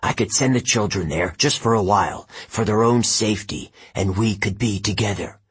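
A man voicing an anxious, brooding god of the dead, deep, husky and pleading. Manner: pleading, grave, slow.

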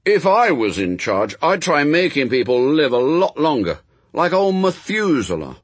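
A man's voice: a little high, big pitch swings, a little slow, no room sound, pitched above the performer's own voice. A man voicing an earnest, stubbornly reasonable young boy in a story, arguing in plain, childlike run-on sentences. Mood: severe, reasoning.

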